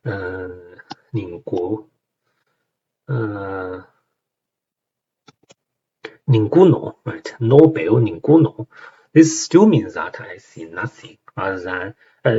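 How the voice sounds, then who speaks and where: Young Chinese-accented man, lowish voice, casual explainer full of fillers; dull lo-fi mic with faint hiss. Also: darkish tone. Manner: hesitant, many fillers and rhetorical "right?" checks. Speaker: language learner explaining song lyrics.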